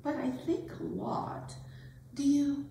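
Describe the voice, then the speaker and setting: A woman acting a giggly, earnest young society debutante in a theatrical monologue, gushing and halting as she talks. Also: high-pitched, huge pitch swings, dark tone, recorded from a distance, a little hiss or hum, heavily acted. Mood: earnest, confiding. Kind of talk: theatrical dramatic monologue at a staged reading. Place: arts center performance space. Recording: echoey hall, picked up from afar.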